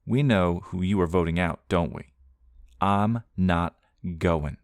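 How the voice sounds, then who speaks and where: Guy doing a cold defiant woman, flat and blunt, dry sound. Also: sits low, unhurried, no echo. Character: defiant, steely young woman.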